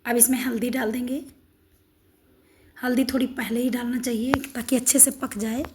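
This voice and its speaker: Hoarse woman demonstrating home cooking. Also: a little high, little pitch movement, normal speed, background noise. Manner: homely, step-by-step instructions. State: hoarse, sore throat. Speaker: cooking channel host.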